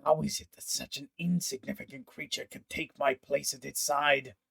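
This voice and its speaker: A man's voice: mid-range voice, steady tone, normal speed, creaky, fairly bright tone, breathy edge, heavily acted. A man voicing a jealous, cruel four-armed male Vedalken hunter who gloats and then begs, in an audiobook reading. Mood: scornful. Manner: scornful, self-pitying, pleading when threatened.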